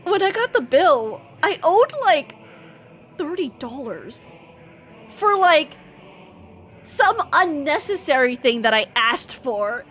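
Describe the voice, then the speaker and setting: A woman's voice: sits high, sing-song, slow, pauses often, fairly bright tone, soft background music. A young American woman streamer telling a story in a casual, rambling way, with many fillers and restarts. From United States. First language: English.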